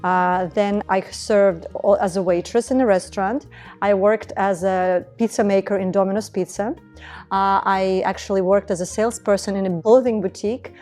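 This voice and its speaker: Woman in her 40s telling her founder story, earnest and steady, warm mellow voice, quiet music under it. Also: medium pitch, moderate pace. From Estonia. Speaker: entrepreneur, beauty business founder. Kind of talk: documentary interview.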